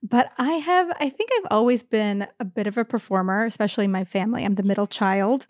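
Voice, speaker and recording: A woman with a medium-pitched voice talks casually as a podcast co-host in a very dry, close recording. Her delivery is playful, reactive and given to exclamations. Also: brisk, dry recording. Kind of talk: casual conversational chat.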